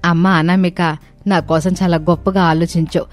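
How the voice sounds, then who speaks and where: An elderly mother-in-law played by a woman from a cartoon story, meek and motherly. She pleads gently in a narrow, even pitch, with music behind her. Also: normal speed, natural voice. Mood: grateful.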